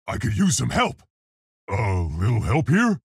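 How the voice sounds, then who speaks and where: A large, brave gorilla scientist in a video game. The voice is very husky and gruff, with a gravelly edge. He speaks in earnest, articulate, unhurried phrases, polite yet heroic. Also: mid-range voice, wildly swooping pitch, dry recording, clean studio sound, heavily acted. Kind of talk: video game character voice lines.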